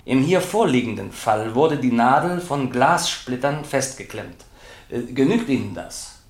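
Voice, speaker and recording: A precise police witness in a courtroom radio play. He speaks at a medium pitch in factual, deliberate official phrasing. The space sounds echoey. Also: lively intonation. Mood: dry, factual. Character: dry, precise male police expert witness. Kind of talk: courtroom radio drama acting. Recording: roomy, echoing radio drama studio.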